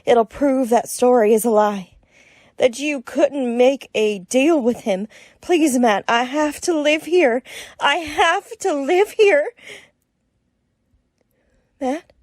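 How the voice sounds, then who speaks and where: A woman playing an anxious frontier townswoman in a Western radio drama, halting and pleading. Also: high voice, darkish tone, no room sound, studio quality.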